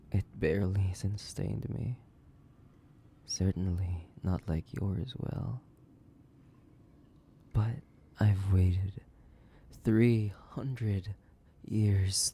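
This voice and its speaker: Guy doing a sadistic old vampire for horror roleplay, low dark voice, breathy whispers, savoring every word, faint noise behind. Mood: menacing, hungry, then possessive, savoring. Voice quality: gravelly, breathy, often dropping to a whisper. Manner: slow, theatrical, savoring, condescending. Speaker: audio roleplay voice actor. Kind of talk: horror audio roleplay monologue.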